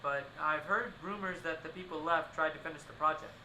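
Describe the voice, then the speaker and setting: A man's voice: on the high side, brisk, pushed and bright. Man acting irritable scornful guy in a radio drama, echoey with noise behind him. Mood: candid, weary. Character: exasperated, condescending man caught in a tech disaster. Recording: echoey, clearly noisy location recording.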